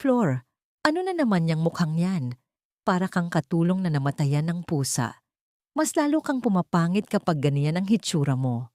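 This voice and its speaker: A woman's voice: lowish voice, big pitch swings, moderate pace, a little mellow, dry recording, studio quality. A woman voicing a contemptuous husband who sneers at and belittles his wife in an audio drama. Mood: mocking contempt.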